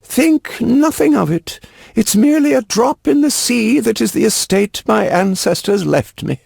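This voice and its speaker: An actor playing a fussy, pompous, wealthy antiquities collector with a florid, genteel, pedantic manner, in a video game. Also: male voice, extremely high pitch, expressive, unhurried, vocal fry, darkish tone, breathy edge, no echo, exaggerated voice. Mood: magnanimous.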